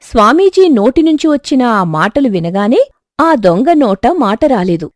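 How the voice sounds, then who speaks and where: A woman narrating a scripted moral tale in an expressive storytelling manner. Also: fairly high pitch, normal speed, no echo, clean studio sound.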